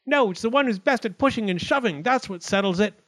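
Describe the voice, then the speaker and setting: A man's voice: sits high, animated, fast, fairly bright tone, pitched above the performer's own voice. A man voicing boastful little talking acorns in a storybook, argumentative, stubborn and insistent. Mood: indignant, boastful.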